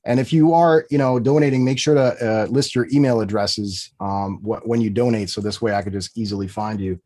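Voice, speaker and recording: A man's voice: fairly low pitch, a little fast, dark tone, dry recording. A man hosting a live music review stream, talking casually with fillers and upbeat praise. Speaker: host.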